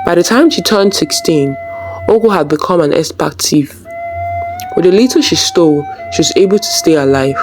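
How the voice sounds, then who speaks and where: A narrator tells a scripted folktale at a middle pitch. The delivery is that of a storyteller reading prose, with music playing underneath. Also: lively intonation, normal speed.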